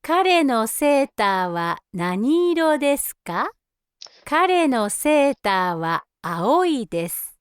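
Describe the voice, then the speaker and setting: A woman reading scripted language-course prompts in a slow, careful, precise way. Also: high-pitched, very animated, smooth, dry recording, soft background music. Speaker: language course audio speaker.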